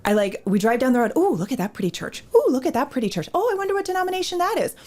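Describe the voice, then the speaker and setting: A woman, a theater ministry director, interviewed as a guest, telling stories in a lively way and acting out her own past remarks. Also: high-pitched, very fast. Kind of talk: casual interview storytelling.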